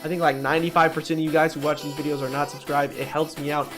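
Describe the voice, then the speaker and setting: Higher-pitched guy, slangy gaming commentary over music. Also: flat delivery, fast. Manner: slangy and blunt, addresses viewers as bro. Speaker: gaming video host. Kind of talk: casual opinionated ranking commentary.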